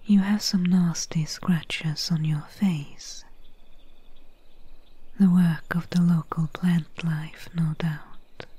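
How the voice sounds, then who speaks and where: A woman playing a calm, mercenary swamp witch healer in a soft-spoken, whispery ASMR roleplay, gently wry and soothing, close to the microphone. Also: fairly low pitch, exaggerated voice. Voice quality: breathy, whispery. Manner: slow, unhurried, hushed, soothing.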